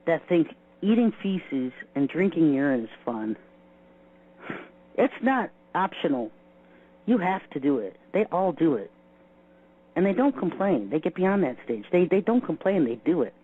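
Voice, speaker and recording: High-voiced incredulous man on tinny line. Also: sing-song, measured pace, pauses often. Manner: incredulous, repetitive, rhetorical questions. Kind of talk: indignant spoken commentary. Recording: thin phone-like radio sound.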